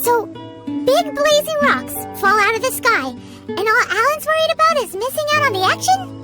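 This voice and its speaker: A woman voicing a tiny, childlike, cheeky fairy companion in a video game, with a squeaky voice. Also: big pitch swings, moderate pace, music in the background. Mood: exasperated. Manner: refers to self in third person, squeaky. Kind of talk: video game character acting.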